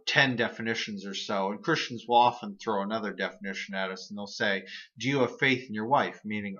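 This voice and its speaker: A man's voice: lowish voice, a bit bright, lo-fi sound. A man hosting his own video series, explaining reasoning errors in a casual, earnest monologue with frequent restarts and repeated words. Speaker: host of an atheist video series.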